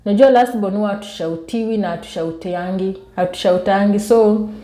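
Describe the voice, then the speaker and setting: Soft-spoken Kenyan woman, mellow and roomy. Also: medium pitch, fairly quick. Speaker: YouTube vlogger. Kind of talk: casual storytelling to viewers. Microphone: newly bought external microphone.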